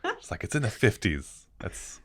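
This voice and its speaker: A man's voice: lowish voice, wildly swooping pitch, moderate pace, a bit bright, slightly rough, no room sound, clean studio sound. A man co-hosting a podcast, chatting casually with a guest and the listeners. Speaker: podcast co-host. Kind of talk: casual chat.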